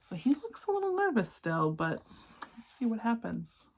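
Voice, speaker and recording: A woman reading a picture book aloud expressively, with gentle, encouraging asides spoken directly to young listeners. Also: a little high, wildly swooping pitch, moderate pace. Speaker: children's read-aloud presenter.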